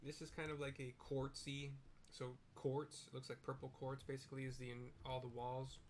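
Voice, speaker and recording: A middle-aged man casually describing what happens in a video game as he plays, in a halting, conversational way. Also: medium pitch, completely flat delivery, a little hiss or hum. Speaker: game streamer, narrator. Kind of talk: casual play-by-play with audio description of gameplay. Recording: loud game music behind voice. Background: loud game music.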